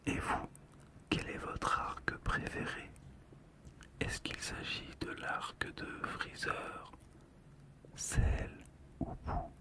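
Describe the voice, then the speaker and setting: An ASMR host whispers softly, right up at the microphone. The delivery is breathy, very slow and gentle, made to soothe the listener. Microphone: close ASMR microphone, monitored on headphones.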